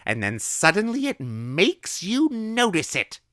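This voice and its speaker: A man voicing an eccentric, eager middle-aged woman recluse who speaks in loud, excitable, cryptic bursts. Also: fairly high pitch, normal speed, clear, resonant tone, no room sound, studio quality, pitched above the performer's own voice. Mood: excited.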